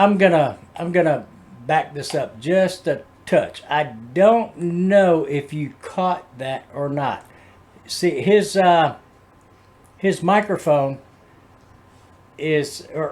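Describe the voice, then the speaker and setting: A man giving casual music commentary as a host, explaining things in a halting, rambling way. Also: on the high side. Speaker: host of a music reaction video.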